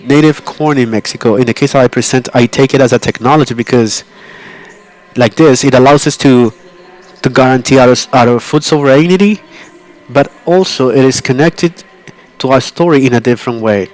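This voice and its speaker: A man interpreting a conference talk live, in a halting way with frequent restarts and self-corrections. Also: mid-range voice, a little mellow, poor audio.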